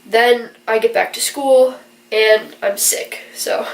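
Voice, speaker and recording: A woman's voice: fairly high pitch, fairly even intonation, slow pace, distant mic. A stuffy-nosed young woman, sick with a virus, earnestly explaining things in a rambling casual vlog, with plenty of fillers. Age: young adult. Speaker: vlogger and college student. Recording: roomy echo, from away from the mic.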